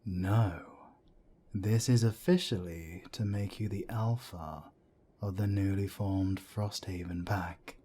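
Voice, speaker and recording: A deep, husky-voiced werewolf elder played by a man in an audio drama. He is a wry mentor who talks slowly and deliberately, with a dark, mellow tone. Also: fairly even intonation, exaggerated voice. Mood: grave, instructive. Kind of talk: audio roleplay drama acting.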